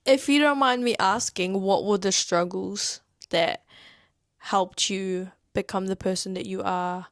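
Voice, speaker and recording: A woman's voice: medium pitch, fairly even intonation, unhurried, dry recording, clean studio sound. A woman in her twenties with a New Zealand accent, hosting a podcast in an upbeat, chatty way. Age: 20s. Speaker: podcast host. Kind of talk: casual chat.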